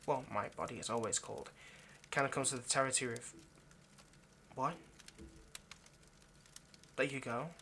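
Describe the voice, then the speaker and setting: A bright, forward voice over clear background noise. It is a man acting as an ancient male vampire, anxious and lovesick, in an intimate audio roleplay. He coaxes and rambles haltingly, speaking straight to his captive. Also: medium pitch. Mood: soothing, apologetic. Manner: hesitant, halting, rambling, coaxing. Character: anxious, lovesick seven-hundred-year-old male vampire kidnapper. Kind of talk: intimate ASMR roleplay monologue addressed to the listener.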